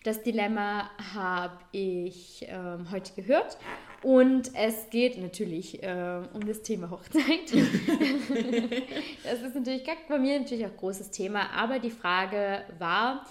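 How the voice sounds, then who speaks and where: Young Austrian woman, podcast co-host, chatty with fillers, mid pitch. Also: slow, a little hiss or hum. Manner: chatty, many fillers and restarts. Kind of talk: casual chat.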